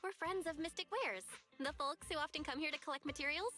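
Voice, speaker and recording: A woman voicing a friendly, composed young woman in an anime game, gentle and reassuring in manner. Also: high-pitched, fast talker, long reverb tail, over loud music, close to an everyday voice.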